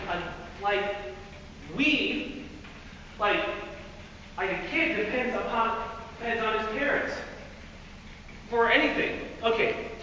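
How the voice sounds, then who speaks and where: A teenage boy speaking loudly and energetically to a group, his voice very high and bright. He laughs often as he talks. Heard from far off in a large, echoey hall, with a long reverb tail and faint noise behind him. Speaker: youth speaker. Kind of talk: lively devotional talk to a group. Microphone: no microphone.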